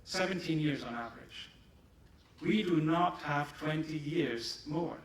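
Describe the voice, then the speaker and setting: A man whose first language is German, giving a measured, emphatic conference presentation in English in a large hall, picked up from a distance. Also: a little high. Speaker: pharma company presenter. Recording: echoey hall, distant mic, audience.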